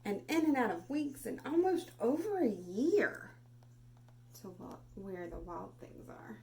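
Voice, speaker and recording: A woman's voice: fairly high pitch, very animated, a little slow, gravelly. Woman reading expressively to children, noisy recording. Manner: dramatic, swinging between hushed whispers and raised exclamations. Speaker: storyteller reading a picture book aloud to children. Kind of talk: expressive children's read-aloud. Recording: noticeable background noise.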